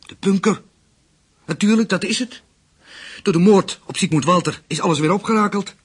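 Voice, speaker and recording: An actor playing a menacing, calculating interrogator in a radio drama, measured and commanding. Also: male voice, high voice, slightly rough. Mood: urgent deduction. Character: menacing, calculating male interrogator, leader of Nazi conspirators.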